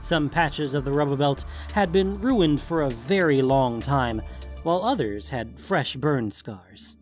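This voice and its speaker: A man narrates a fantasy story in a scripted audiobook style. His voice sits at a medium pitch, and music plays behind the narration. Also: lively intonation, unhurried.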